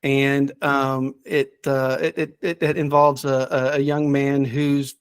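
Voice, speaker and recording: A middle-aged man chatting casually as a podcast's subject expert, thinking aloud in a halting way with repeated words. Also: medium pitch, flat delivery, clear, resonant tone, dry recording, studio quality. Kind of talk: casual chat.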